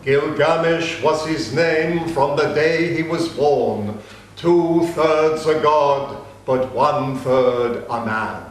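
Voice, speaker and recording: A man giving a slow, measured, solemn reading of an ancient epic. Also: on the high side. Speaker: reader. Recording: very echoey room.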